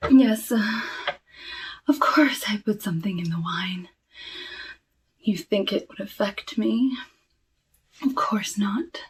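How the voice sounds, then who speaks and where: A woman voicing a sinister, cursed flesh-eating forest witch in a hushed, breathy ASMR roleplay, often drifting into whispers. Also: medium pitch, recorded from a distance, a little hiss or hum, close to an everyday voice, low-quality recording. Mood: menacing. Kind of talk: ASMR roleplay, soft talking.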